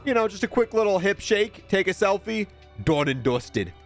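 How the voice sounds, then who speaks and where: A man doing casual video game commentary in a reviewer's conversational style. His voice is slightly high, with faint music behind it. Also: big pitch swings, moderate pace. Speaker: gaming video creator reviewing a game bundle.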